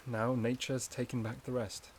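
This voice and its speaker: A man playing a gentle, shy, caring forest companion in a soft-spoken ASMR roleplay, with a hesitant, slightly stammering delivery. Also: mid-range voice, level tone, unhurried, slight background noise, close to an everyday voice, poor audio. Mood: soothing, cozy.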